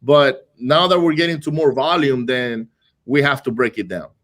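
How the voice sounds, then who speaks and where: A man who grew up in Venezuela, talking casually on a live panel as a guest real estate investor, animated and often repeating words as he starts sentences. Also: medium pitch, moderate pace.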